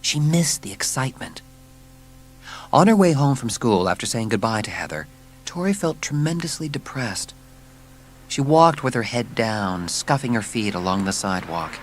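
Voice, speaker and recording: A man narrating a children's story as scripted audiobook, with measured, dramatic storytelling. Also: medium pitch, expressive, slight background noise.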